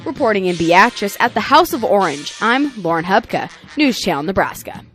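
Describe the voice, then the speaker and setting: A woman's voice: medium pitch, sing-song, normal speed, fairly bright tone. An American woman reporter narrating a scripted local TV news story. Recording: music playing behind the voice. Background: music.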